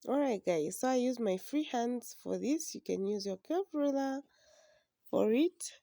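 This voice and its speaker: Hoarse woman teaching slowly. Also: on the high side, expressive, dark tone, no echo, clean studio sound. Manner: slow, patient instructions. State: hoarse from a flu. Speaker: sewing instructor. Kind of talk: step-by-step drafting tutorial.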